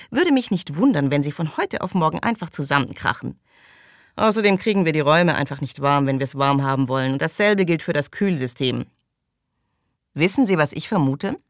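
A woman voicing talkative, opinionated settlers who grumble and hold forth in an animated way in an audiobook. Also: low voice, no room sound, studio quality. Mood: grumbling, chatty. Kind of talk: audiobook character dialogue.

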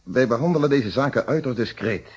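An actor playing a calm, principled middle-aged police inspector in a Dutch radio detective play, speaking in formal, articulate explanations. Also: male voice, mid-range voice, brisk, slightly rough. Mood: firm, earnest. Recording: dull, muffled old radio recording.

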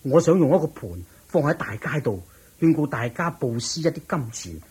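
A worried rich merchant, very husky. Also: male voice, a little high, big pitch swings, normal speed, darkish tone, full character voice. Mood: earnest, resolved. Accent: Hong Kong Cantonese. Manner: questioning, hesitant, colloquial, earnest. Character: worried, thrifty rich male merchant, earnest and naive. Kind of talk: Cantonese radio drama acting.

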